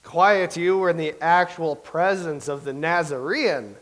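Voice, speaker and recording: An actor in a staged reading playing an earnest, insistent believer who explains things. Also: male voice, on the high side, unhurried, a bit bright.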